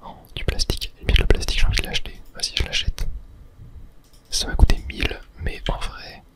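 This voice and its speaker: A man from whispers casual ASMR gaming commentary in a breathy, unvoiced voice, murmuring his thoughts aloud. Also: fairly quick. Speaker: ASMR gaming video host.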